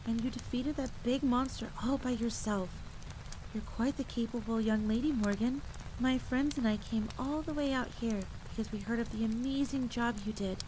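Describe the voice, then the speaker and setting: A woman's voice: fairly high pitch, moderate pace, close to an everyday voice, poor audio. Woman playing a kind, motherly medic, rain behind. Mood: impressed, kind. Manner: gentle, reassuring, sweetly encouraging. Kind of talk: acted audio drama storytelling. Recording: steady rain noise behind the voice.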